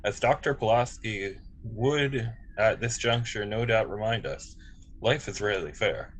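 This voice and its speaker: A man playing a polite, precise, emotionless android officer in a table read, speaking in formal, measured phrases. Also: lowish voice, rough recording. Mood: gently sincere. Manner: formal, measured, literal wording. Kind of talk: amateur table read of a sci-fi script.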